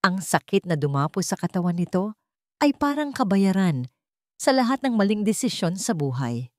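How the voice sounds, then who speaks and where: A woman's voice: mid-range voice, very animated, normal speed, darkish tone, no room sound, studio quality. A woman with a Filipino accent reading a scripted dramatic story as its narrator, in the manner of a radio drama.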